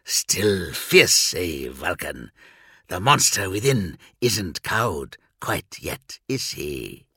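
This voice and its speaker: A man voicing a bitter, fallen warrior villain in an audiobook, husky, sardonic and menacing as he taunts. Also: deep voice, very animated, drawn-out words, sharp tone, dry recording, clean studio sound, voice pushed down, heavily acted. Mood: quiet menace.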